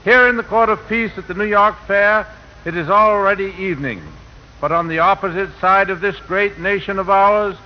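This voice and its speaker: A man's voice: sits high, dull audio, a little hiss or hum. A man delivering a formal, oratorical prepared speech as a fair official in an old 1940 radio broadcast. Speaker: fair official and speaker introducing guests.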